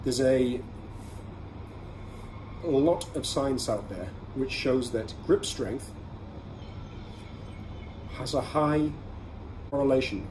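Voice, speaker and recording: A man hosting a casual product review, explaining things conversationally in short, measured phrases. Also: medium pitch. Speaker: podcast host reviewing everyday carry products. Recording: clearly audible ambient background noise.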